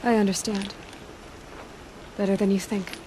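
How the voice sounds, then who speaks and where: A woman's voice: medium pitch, little pitch movement, moderate pace, warm tone, a little hiss or hum, lightly acted, poor audio. Plain-spoken young huntress played by a woman. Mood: earnest. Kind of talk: video game dialogue acting.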